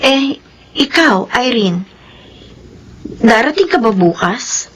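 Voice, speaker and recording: A woman playing a gentle, devoted nanny with a soothing, earnest delivery in a radio drama. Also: a little high, lively intonation, slow, a little hiss or hum. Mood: reproachful. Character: gentle, devoted nanny who mothers her young ward.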